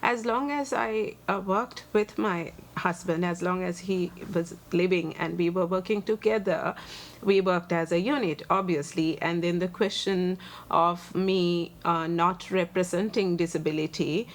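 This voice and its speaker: A Sri Lankan woman in her forties with a Sri Lankan accent, a lawyer answering interview questions earnestly and thoughtfully, pausing on many fillers. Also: fairly low pitch, steady tone. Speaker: guest, human rights lawyer and advocate.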